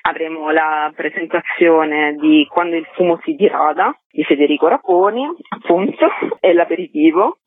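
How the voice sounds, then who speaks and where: A woman's voice: deep voice, moderate pace, no room sound. Female guest on a phone-like line. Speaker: event organizer, interview guest. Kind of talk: spoken event presentation in radio interview. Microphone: telephone call. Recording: narrow telephone line, dry.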